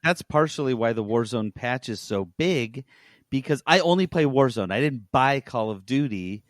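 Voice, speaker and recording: Dude co-hosting a podcast, blunt n casual, mid pitch, no room sound. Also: male voice, lively intonation, normal speed, dry recording, clean studio sound. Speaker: podcast co-host. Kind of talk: casual chat.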